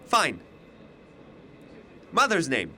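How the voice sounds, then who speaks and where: Skeptical young male student, lively high-swinging pitch, acted by a man. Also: very slow, slight background noise, full character voice. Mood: skeptical, testing. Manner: questioning, incredulous. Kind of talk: audio drama dialogue.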